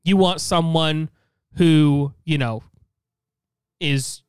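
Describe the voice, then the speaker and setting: An American man co-hosting a podcast in casual comedic banter, haltingly thinking aloud as he talks. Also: a little high, clean tone, no room sound, clean studio sound. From St. Louis area, United States. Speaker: podcast co-host.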